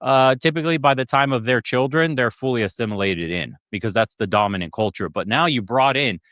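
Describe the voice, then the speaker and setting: A man in a played podcast clip, giving opinionated commentary in a measured, lecturing way. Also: medium pitch, fairly bright tone, no room sound, clean studio sound.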